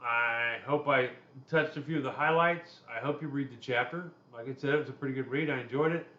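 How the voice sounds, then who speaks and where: Deep-voiced man lecturing casually. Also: fairly quick, sharp tone, roomy sound, dull audio. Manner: conversational, loose, personal asides. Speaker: instructor. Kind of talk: recorded lecture video.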